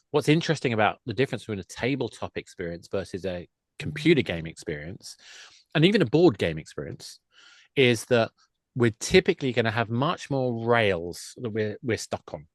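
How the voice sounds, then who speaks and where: A man's voice: lowish voice, dry recording. Explanatory man in a dry recording. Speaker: guest, games industry expert. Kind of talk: panel discussion.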